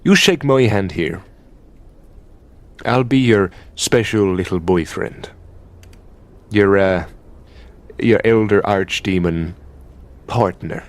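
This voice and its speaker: A man with an Irish accent playing an exasperated, mocking, possessive elder demon boyfriend in an ASMR roleplay, sardonic and sweary in a halting, conversational way. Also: fairly low pitch. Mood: possessive, bargaining.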